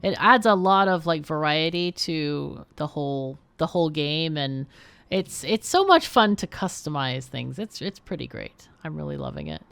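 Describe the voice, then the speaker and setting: Low, creaky woman streaming a game. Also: expressive, normal speed, a bit bright. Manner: chatty, thinks aloud while playing. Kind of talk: casual livestream gameplay commentary.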